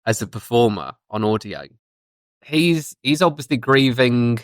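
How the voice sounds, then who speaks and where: A man's voice: mid-range voice, animated, measured pace, no room sound, studio quality. A man co-hosting a podcast in casual review chat, talking enthusiastically and thinking aloud as he goes. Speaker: podcast co-host.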